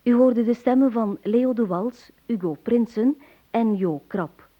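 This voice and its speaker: Unhurried female radio announcer, slightly muffled. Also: on the high side. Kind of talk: scripted credits announcement. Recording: dull, muffled radio recording.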